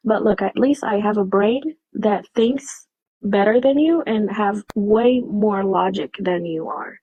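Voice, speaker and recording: A woman speaking English as a second language, defending herself defiantly in halting phrases as a livestream guest. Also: mid-range voice, warm tone, slightly rough, no room sound, muffled recording. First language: not English. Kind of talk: casual livestream debate.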